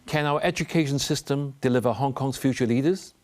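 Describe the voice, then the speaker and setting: A man's voice: mid-range voice, a little fast, darkish tone. A man hosting a television talk show, presenting and putting questions to guests in a studio interview.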